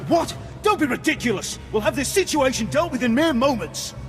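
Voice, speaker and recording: A man's voice: extremely high pitch, animated, brisk. Haughty, swooping male Imperial villain. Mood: indignant. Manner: pompous, imperious, declamatory. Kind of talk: video game voice acting. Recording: reverberant hall with loud game music.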